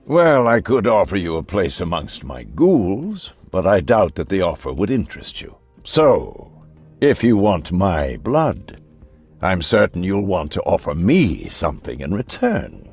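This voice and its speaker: Gravelly, smug vampire villain. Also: male voice, deep voice, very animated, unhurried, darkish tone, soft background music, heavily acted. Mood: amused, probing. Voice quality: gravelly, husky. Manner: mock-courteous, theatrical, condescending. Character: aristocratic elder vampire villain, smug and menacing. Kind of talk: video game cutscene acting.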